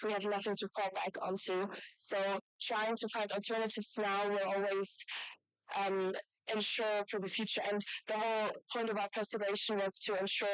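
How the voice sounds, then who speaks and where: A woman with a very clear, smooth voice speaks in a formal youth debate. Her pitch stays fairly even, and the sound is close and dry. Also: no room sound, rough recording. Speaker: youth parliament participant.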